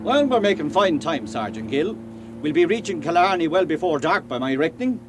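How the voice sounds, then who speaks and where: An actor with an Irish accent playing a genial, talkative, canny police superintendent in a radio drama. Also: male voice, fairly high pitch, sing-song, brisk, noisy background. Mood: genial, confident. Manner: folksy, cajoling, garrulous.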